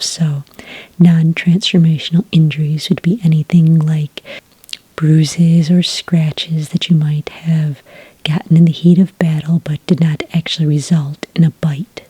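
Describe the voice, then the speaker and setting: A woman acting as a friendly office clerk in an ASMR roleplay, very breathy and half-whispered. She takes her time, speaking slowly and gently with patient, official politeness. The sound is close and dry. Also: deep voice, no echo, exaggerated voice. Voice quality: breathy, soft, near-whisper. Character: friendly, patient female licensing clerk for werewolf hunters. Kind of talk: soft-spoken ASMR roleplay. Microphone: close, dry microphone.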